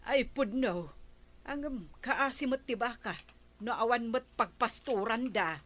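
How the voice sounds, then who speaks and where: A woman's voice: fairly high pitch, a little slow, a bit bright. A woman with an Ilocano accent playing a curious, gossipy villager in a radio comedy drama.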